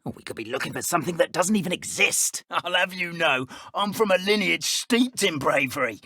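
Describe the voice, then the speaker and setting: Haughty, theatrical dark wizard villain. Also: male voice, fairly high pitch, animated, brisk, slightly rough, no echo, studio quality, exaggerated voice. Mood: low, scheming. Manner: pompous, theatrical, formal old-fashioned phrasing. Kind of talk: video game villain voice acting.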